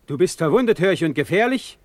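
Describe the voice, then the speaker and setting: An actor playing a stern, unbending Prussian Elector in a classical German radio drama, speaking formally and with authority. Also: male voice, high voice, expressive, quick pace. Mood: cool command.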